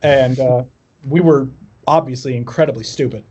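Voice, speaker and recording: A man's voice: mid-range voice, normal speed, darkish tone, slightly rough, muffled recording. A man chatting casually as a musician guest on a podcast, telling personal stories in a wry, self-deprecating way. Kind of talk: casual chat, personal storytelling.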